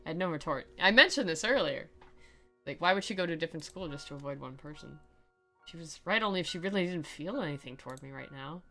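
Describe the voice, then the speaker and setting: Sarcastic woman narrator with a bright voice. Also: low voice, lively intonation, fast talker, faint music behind. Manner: sarcastic, theatrical. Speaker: narrator and commentator. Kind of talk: sarcastic dramatic reading with casual commentary.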